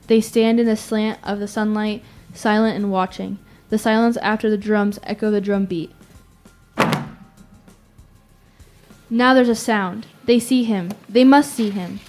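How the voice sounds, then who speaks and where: A woman's voice: medium pitch, steady tone, moderate pace, few pauses, a little mellow, close to an everyday voice. Urgent young female radio-play announcer, acted by a girl. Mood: tense watching. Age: teens. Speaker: student actor. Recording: music behind the voice.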